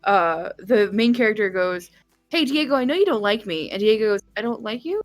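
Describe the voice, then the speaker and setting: A woman chatting casually as a guest game developer, explaining things in a halting, thinking-aloud way. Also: mid-range voice, expressive, dry recording, soft background music.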